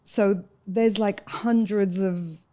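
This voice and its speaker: A woman's voice: mid-range voice, fairly even intonation, slow, dark tone. A woman in her forties with a London accent, chatting casually and telling personal stories as a podcast guest. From London, UK, of Sudanese parents. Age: 40s.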